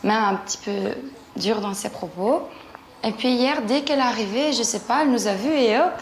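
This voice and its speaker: A woman's voice: on the high side, lively intonation, a little fast, echoey room, a little hiss or hum. A young woman on a reality show, talking in a chatty, questioning way. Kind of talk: reality TV conversation.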